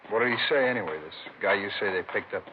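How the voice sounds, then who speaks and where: An American actor playing a defiant burglary suspect in a 1950s radio crime drama, curt, evasive and defensive. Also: male voice, fairly low pitch, animated, fast talker, lightly acted. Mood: uneasy. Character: defiant, cornered male burglary suspect, stonewalling police. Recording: muffled, noisy vintage radio recording.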